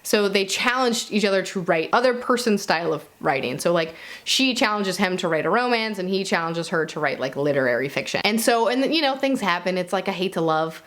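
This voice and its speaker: Female video reviewer, mid-pitched, informal and conversational, chatting straight to her audience in a casual wrap-up. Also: animated, fairly quick, few pauses, fairly bright tone. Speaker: book reviewer and video host. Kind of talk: casual monthly reading wrap-up to viewers.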